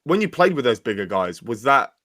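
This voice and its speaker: A man hosting an interview podcast, asking long rambling questions in a brisk way. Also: medium pitch, big pitch swings, a bit bright, no echo, clean studio sound. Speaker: podcast host.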